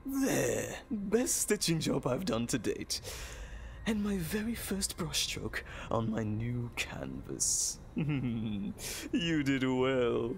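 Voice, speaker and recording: An actor playing a sadistic, gloating evil doctor in an audio drama, theatrical and menacing with a gravelly voice. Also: male voice, mid-range voice, sing-song, very slow, slight background noise, full character voice. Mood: proud, sinister. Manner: theatrical, gloating, swooping between croons and outbursts. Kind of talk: audio drama villain acting.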